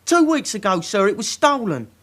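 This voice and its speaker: Nervous young lad, high-voiced. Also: male voice, very animated, moderate pace, a bit bright, exaggerated voice. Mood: defensive, nervous. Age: young adult. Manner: meek, hesitant, defensive. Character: nervous, deferential baby-faced young male suspect. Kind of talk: radio drama acting.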